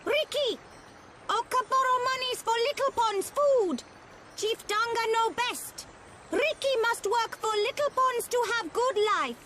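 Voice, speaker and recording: A woman's voice: very high voice, lively intonation, unhurried, noisy background. A woman voicing a small, bossy, nagging furball wife in a video game, scolding in broken English. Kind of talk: cartoonish video game acting.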